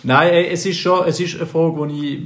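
A man with a Swiss German accent who stutters, talking in a halting, reflective way as a podcast co-host. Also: fairly high pitch, steady tone, a bit bright. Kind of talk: casual podcast chat. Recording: roomy echoey sound.

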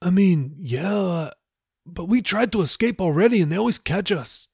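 A man performs a young sailor with a tough past and firm convictions, in an audiobook story. The voice is husky and somewhat high, with a dry, close sound. He reasons earnestly in casual slang. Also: expressive, normal speed, a little mellow, dry recording, exaggerated voice. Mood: reluctant. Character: steadfast, reformed tough young male sailor.

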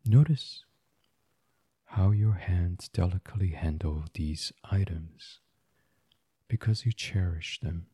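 A man gently guiding a sleep meditation in a soft, soothing, unhurried ASMR style. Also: low-pitched, husky, dry recording, clean studio sound. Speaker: guided meditation host.

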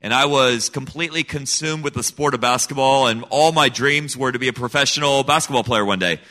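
A man's voice: mid-range voice, a little fast, pushed and bright. A middle-aged man raised in Senegal, giving an earnest, emphatic personal testimony as an interview guest. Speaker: guest, missionary kid.

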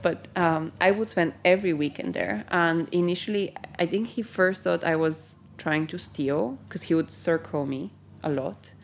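A young woman from Bulgaria talks through her founder story in a casual, rambling way, with frequent ums and likes. Her voice sits quite low for a woman. A faint noise runs under the recording. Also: gravelly. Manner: chatty, many ums and likes, quick asides. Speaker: company founder. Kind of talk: casual interview.

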